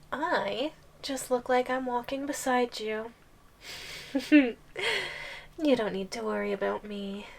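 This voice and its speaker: A woman acts out a possessive giantess girlfriend in a high, very unhurried voice. She teases sweetly with menace underneath, in the manner of an ASMR audio drama. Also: gravelly, full character voice. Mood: playfully controlling. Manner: slow, playful teasing with frequent giggles.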